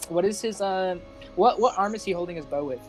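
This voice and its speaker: A man's voice: high voice, brisk, faint music behind. A man chatting casually as a player in a tabletop game, feeling out his words hesitantly.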